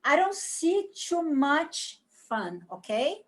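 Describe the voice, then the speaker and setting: A woman improvising a tarot reading on a video call, halting and repeating phrases as she goes. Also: high-pitched, big pitch swings. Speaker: tarot reader.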